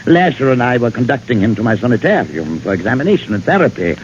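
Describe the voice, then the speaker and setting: An actor playing a sinisterly polite gentleman villain with pompous, ornate manners in the theatrical style of old radio suspense. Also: mid-range voice, very animated, fast, lightly acted. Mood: haughty. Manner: pompous, ornate, mockingly courteous. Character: portly-mannered genteel villain posing as doctor, sinisterly polite. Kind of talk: 1940s-50s radio suspense acting. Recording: dull, noisy vintage radio recording.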